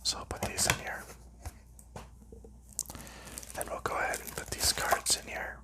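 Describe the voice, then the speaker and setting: A man hosting an ASMR video in a soft unvoiced whisper, calmly narrating each step as he packs up a board game, with relaxed fillers. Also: brisk. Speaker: ASMR channel host.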